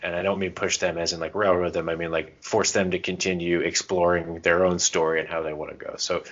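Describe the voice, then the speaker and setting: A man with a very low voice talks casually as a podcast co-host. He explains things informally, slipping into little asides and stories. The sound is somewhat dull and lo-fi. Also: flat delivery, fairly quick, a bit bright. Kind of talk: casual chat giving gaming advice. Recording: dull, muffled lo-fi podcast audio.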